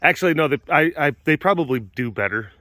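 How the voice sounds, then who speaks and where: A man with a slightly hoarse voice rambles casually while filming outdoors at animal enclosures, talking softly to the animals between thoughts. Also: a little high, lively intonation, fast, no echo, studio quality. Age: adult, getting older. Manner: rambling and chatty, softening into baby talk with the animals. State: slightly hoarse, partly lost voice. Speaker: big cat sanctuary keeper and vlogger. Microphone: handheld camera mic, speaker off camera.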